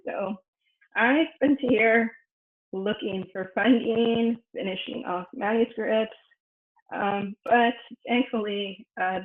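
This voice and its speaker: A woman researcher whose first language is Spanish, giving a personal academic presentation with many pauses and fillers. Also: medium pitch. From United States. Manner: thoughtful, frequent fillers and restarts. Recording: echoey room over a phone-like line.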